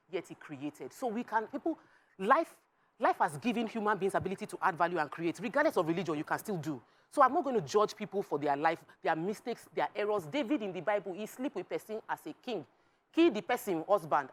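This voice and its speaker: A Nigerian woman on a talk panel, speaking in a slightly low voice. She makes her points emphatically, with a preachy streak, and slips into Pidgin. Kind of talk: animated panel discussion.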